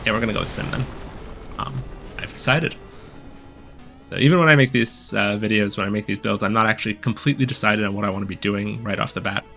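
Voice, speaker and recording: A man calmly explaining a game strategy while he plays, a little hoarse from a cold, with many filler ums. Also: fairly low pitch, quick pace, soft background music. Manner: relaxed, explanatory, frequent ums. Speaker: gameplay guide commentator. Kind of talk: casual gameplay commentary.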